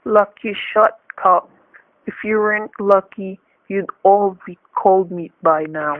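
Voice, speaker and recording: A man's voice: high voice, slow. An actor playing a cocky criminal gunman in a radio thriller, talking in clipped, sneering threats. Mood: bitter, sneering. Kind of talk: vintage radio thriller acting. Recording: tinny, noisy old radio recording.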